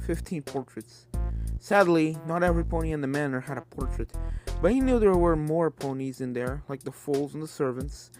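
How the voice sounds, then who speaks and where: A narrator reading scripted fan fiction as storybook narration. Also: mid-range voice.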